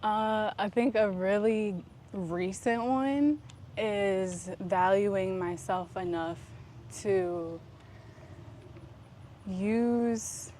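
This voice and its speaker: A woman talking in a casual, reflective vlog style, earnest and introspective, with deliberate pauses. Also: mid-range voice. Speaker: vlog host. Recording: clear steady background noise.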